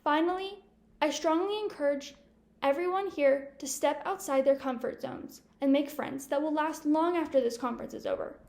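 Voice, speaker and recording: A woman's voice: high voice, moderate pace, a little mellow. A teenage woman giving an upbeat, encouraging welcome address at a student conference. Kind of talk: prepared ceremonial speech.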